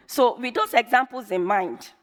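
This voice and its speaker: A woman whose first language is Yoruba, giving a measured, emphatic conference talk and repeating phrases for effect. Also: a little high, animated. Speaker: conference speaker. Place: large conference hall. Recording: long reverb of a large hall.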